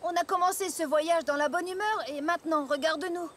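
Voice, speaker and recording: A woman's voice: very high-pitched, fairly even intonation, fairly quick, slight background noise. A woman voicing a sincere, down-to-earth young female farm pony in a French cartoon dub, earnest and plain-spoken. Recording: loud music behind.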